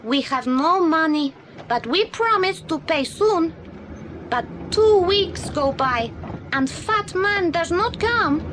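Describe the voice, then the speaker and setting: Audio play role: earnest young Spanish-accented boy, very high-pitched, simple broken English, noisy old recording. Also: lively intonation, slow pace, exaggerated voice. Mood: regretful. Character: earnest young immigrant boy, Spanish-speaking, telling a story. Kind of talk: British audio drama acting.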